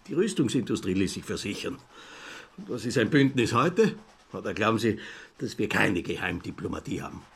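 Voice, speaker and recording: An actor playing a chatty, self-important greying traveller of about fifty in a radio play, rambling along with an Austrian accent and rhetorical questions. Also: male voice, on the low side, gravelly, slightly rough, full character voice.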